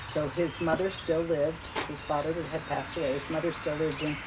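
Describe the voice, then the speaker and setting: Older woman, low voice, reminiscing casually; outdoor insect noise behind. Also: fairly even intonation, normal speed. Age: 70s. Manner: chatty, reminiscing, laughs easily. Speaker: interviewee. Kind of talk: family memories interview. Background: insects and birds.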